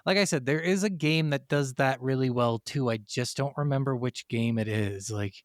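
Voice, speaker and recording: A man co-hosting a casual gaming podcast, amused and excitable, with plenty of swearing in his talk. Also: medium pitch, moderate pace, no echo, studio quality. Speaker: podcast co-host. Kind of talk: casual video game discussion.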